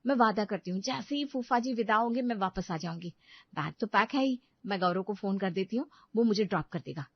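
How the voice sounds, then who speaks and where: A woman's voice: mid-range voice, a little fast, dry recording, muffled recording, natural voice. A woman voicing a dutiful, emphatic young daughter-in-law in a family drama. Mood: reassuring. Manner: earnest, emphatic.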